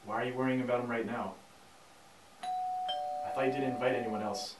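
Young man as anxious loyal friend, questioning, far off mic, echoey room, loud music. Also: medium pitch, steady tone, normal speed, very noisy, natural voice. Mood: worried. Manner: worried, questioning.

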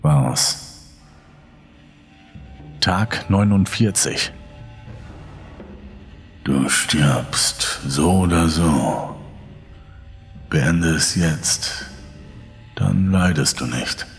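A man voicing a sinister, menacing inner voice that haunts a lone bunker survivor in a horror story, gravelly and at times breathy. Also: extremely low pitch, expressive, pitched below the performer's own voice, full character voice. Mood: coldly urging. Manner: slow, menacing, drawn-out. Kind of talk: German horror audiobook narration. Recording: long reverb over background music.

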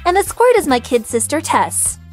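Brash young woman, game character, blunt, cocky, fairly high voice, music behind. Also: sing-song, moderate pace. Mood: gruff, offhand. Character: brash, cocky young female treasure hunter. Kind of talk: visual novel character voice acting.

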